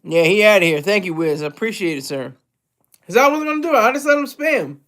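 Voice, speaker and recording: Guy with a kinda high voice just rambling on his stream, talking to chat, laughing here and there. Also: lively intonation, quick pace, a bit bright. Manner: loose, rambling, with frequent restarts and chuckles. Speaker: livestream host reading chat. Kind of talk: casual livestream chat.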